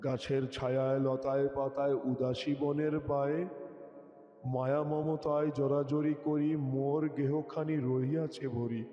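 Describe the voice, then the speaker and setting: A man's voice: medium pitch, flat delivery, unhurried. A man reciting a poem expressively, as a poetry reciter does, over music. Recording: dull, echoey with long reverb.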